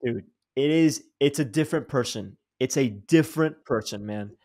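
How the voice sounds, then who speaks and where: A young man hosting a podcast in a loose, animated conversation. He drops casual slang and restarts his sentences often as the thoughts tumble out. His voice sits at an ordinary pitch for a man. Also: moderate pace. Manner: animated, slangy, says dude and bro, many restarts. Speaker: podcast host. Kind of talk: casual interview chat.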